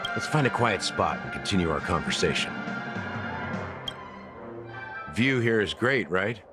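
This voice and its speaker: A world-worn male officer from a video game. His voice sits deep, and he speaks with solemn deliberation and dramatic pauses, weighed down by old regret. Prominent music plays behind him. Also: big pitch swings. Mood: guarded, low-key. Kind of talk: English video game voice acting. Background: loud background music.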